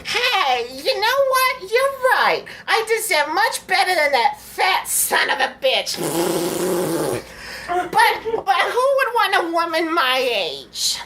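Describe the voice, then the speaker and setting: A woman's voice: very high-pitched, big pitch swings, slow pace, pushed and bright, a little hiss or hum, exaggerated voice, poor audio. A woman voicing a brash, bawdy middle-aged cartoon housewife in a crude comedy parody read aloud. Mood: bitter. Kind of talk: live comedic parody reading.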